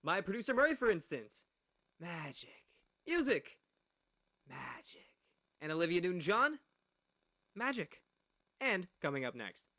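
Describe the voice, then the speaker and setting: An actor playing an earnest late-night radio host and protective older brother in a radio play. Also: male voice, high voice, big pitch swings, measured pace, long pauses, pushed and bright, full character voice. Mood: upbeat, dreamy.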